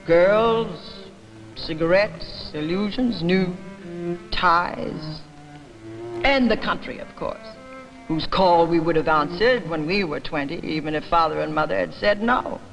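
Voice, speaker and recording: A woman voicing a fat older father in a dramatic reading, bitterly defiant, with an emphatic, rhetorical and halting delivery. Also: fairly low pitch, faint music behind, voice pushed down, poor audio. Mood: impassioned.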